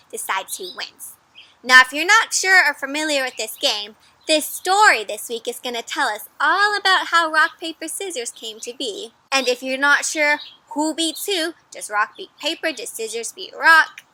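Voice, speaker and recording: A woman with a high, bright voice reads a storybook aloud to children. She is animated and encouraging, stopping often to ask her young listeners questions. The delivery is that of a friendly read-aloud host. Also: moderate pace. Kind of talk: interactive read-aloud for kids.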